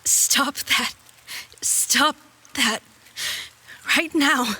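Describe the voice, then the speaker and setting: A woman's voice: high voice, extremely slow, noisy background. A woman playing a defiant, cornered young heroine in a fantasy audio drama, strained and emotional. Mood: pleading, bitter. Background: music.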